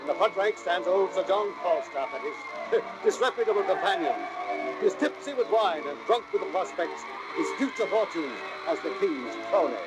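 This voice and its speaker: Vintage radio drama narrator, male, high-pitched, swooping theatrical delivery over music. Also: animated, moderate pace, poor audio. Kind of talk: 1930s radio scripted narration.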